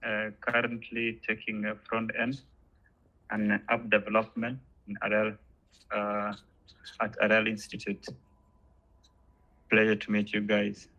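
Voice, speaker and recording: A man in his thirties from South Sudan introduces himself on a video call. His voice is bright and forceful, though the audio sounds dull and muffled. He laughs easily and keeps a cheerful tone. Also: lowish voice, completely flat delivery, unhurried, pauses often. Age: 30s. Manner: laughing, good-humoured. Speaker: student. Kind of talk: casual self-introduction.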